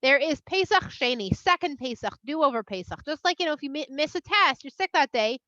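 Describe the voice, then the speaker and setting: A woman voicing God as a calm, fair-minded judge who explains patiently. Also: sits high, brisk, no echo, lo-fi sound. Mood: reassuring.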